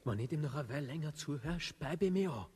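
A man with an Austrian accent playing a coarse, exasperated, excitable fighting comrade in a comic radio drama. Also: on the high side, quick pace, breathy edge. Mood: gruff, fed up. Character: gruff, exasperated fighting comrade of a cowardly boss. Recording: studio radio play with long hall reverb.

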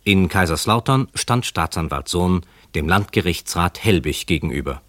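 Low-voiced male crime narrator, close and dry. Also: animated, a bit bright, no room sound. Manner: measured, factual. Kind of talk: scripted crime radio drama narration.

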